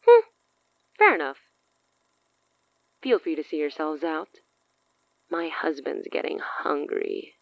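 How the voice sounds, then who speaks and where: A woman's voice: low voice, wildly swooping pitch, slow pace, gravelly, pauses often, full character voice, poor audio. A woman playing a cocky, teasing supernatural villainess in a horror audio drama, with smug, coy delivery.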